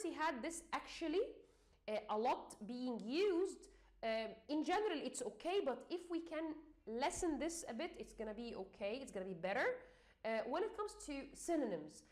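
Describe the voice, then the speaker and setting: Egyptian woman teaching, slightly high voice. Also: animated, moderate pace, darkish tone. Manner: hesitant, many fillers, repeats and restarts. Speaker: English exam teacher. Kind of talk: explanatory teaching talk.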